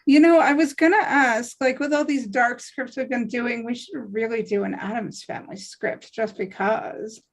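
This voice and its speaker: A woman with a high-pitched voice joins a relaxed group conversation. She speaks informally, as if among friends. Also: normal speed, few pauses. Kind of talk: casual group chat.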